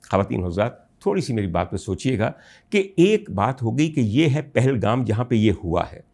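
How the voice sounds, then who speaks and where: Man, Pakistani Urdu accent, commentary show host, mid-range voice, rhetorical, swings from calm to loud indignation. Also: medium pitch, moderate pace. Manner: addresses listeners as ladies and gentlemen. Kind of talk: political monologue to camera.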